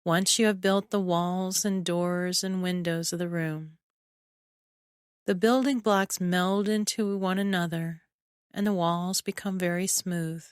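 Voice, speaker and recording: A woman narrating a scripted guided relaxation in a soothing, measured way, with short phrases and long pauses. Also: fairly low pitch, steady tone, clean tone, dry recording, clean studio sound. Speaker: guided imagery meditation narrator.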